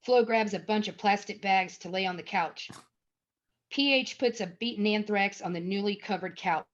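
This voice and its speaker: Woman to narrate screenplay directions, medium pitch, on somewhat dull audio. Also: moderate pace. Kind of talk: scripted narration.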